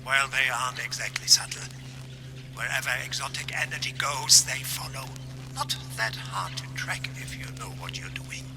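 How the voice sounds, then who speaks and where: A man's voice: medium pitch, normal speed, in a whisper, music in the background, close to an everyday voice. An actor playing an eccentric, knowing man of science in a video game, theatrical and wry as he explains and guides. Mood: wry, explanatory.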